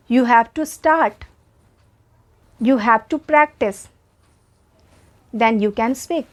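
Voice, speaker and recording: A young woman with an Indian English accent whose first language is Hindi. She gives an earnest, encouraging spoken English lesson and repeats her points for emphasis. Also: high-pitched. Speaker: English teacher.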